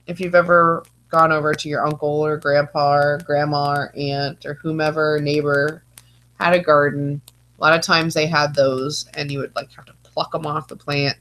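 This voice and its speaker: A woman's voice: low-pitched, fairly bright tone, rough recording. A woman with a stuffy, congested nose, giving a chatty online lecture as a teacher, full of playful asides. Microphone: video call.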